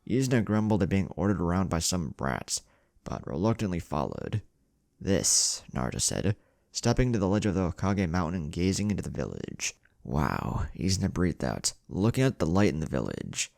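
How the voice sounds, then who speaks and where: Deep, gravelly male story narrator. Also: very animated, a little fast, a little mellow, no echo, clean studio sound. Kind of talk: scripted narration of fan fiction story. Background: music.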